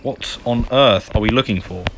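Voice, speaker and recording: A man's voice: mid-range voice, normal speed, background noise. A man doing casual gameplay commentary, muttering to himself with exasperated asides. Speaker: gamer commentating a playthrough. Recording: game music behind the voice.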